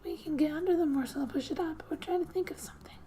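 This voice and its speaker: A woman speaking softly and breathily, often near a whisper, reading a game's story aloud in a gentle, unhurried ASMR style with casual asides. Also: sits high, slight background noise. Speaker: ASMR gamer and host.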